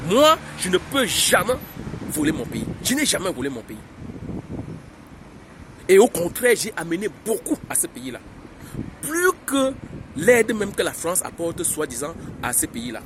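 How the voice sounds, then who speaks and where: Middle-aged Cameroonian vlogger, high and very animated delivery, fervent and repetitive, phone mic with traffic noise. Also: male voice, unhurried, pauses often. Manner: impassioned, exhorting, repeats phrases. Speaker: YouTuber. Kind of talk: political vlog monologue.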